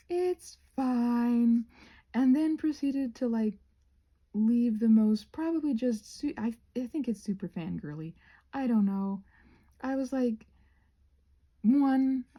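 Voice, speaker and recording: A woman rambling casually to her viewers while crafting, hesitant and self-deprecating, trailing off and often slipping into a breathy near-whisper. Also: on the high side, mellow. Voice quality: breathy, husky with creak.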